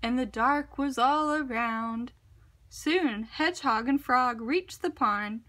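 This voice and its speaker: Woman reading a kids picture book, high bright voice, slow n dramatic. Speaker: storybook reader. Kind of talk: expressive children's picture book narration.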